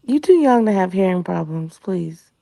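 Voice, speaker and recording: A woman's voice: fairly low pitch, expressive, moderate pace, creaky, mellow, no room sound. A woman chatting bluntly and teasingly on a live video call panel. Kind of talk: casual panel chat.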